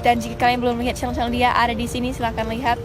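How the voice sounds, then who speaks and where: A woman's voice: fairly high pitch, completely flat delivery, racing. A woman from the United States, an English speaker, chatting casually to camera as a vlogger with small fillers. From United States (Texas). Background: background music.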